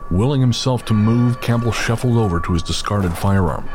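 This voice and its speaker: A man giving scripted, measured narration for a horror audio drama, with a music score playing behind him. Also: fairly low pitch, noisy background.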